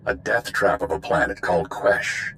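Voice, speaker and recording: Low-voiced, grave spy commander. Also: male voice, normal speed, fairly bright tone, slight background noise. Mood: measured, commanding. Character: authoritative male intelligence commander, measured and grave. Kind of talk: video game voice acting.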